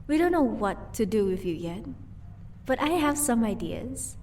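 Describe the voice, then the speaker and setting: A woman playing a vampire's playful, possessive wife in an audio roleplay, teasing and doting with quiet menace. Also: a little high, big pitch swings, measured pace, distant mic, slight background noise. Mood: gently menacing.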